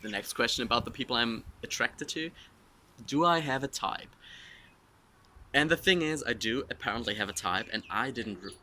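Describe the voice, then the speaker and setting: A man's voice: mid-range voice, moderate pace, sharp tone. A man in his twenties with a German accent, answering his followers' questions in a frank, self-mocking, rambling way. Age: 20s. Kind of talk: casual Q&A monologue.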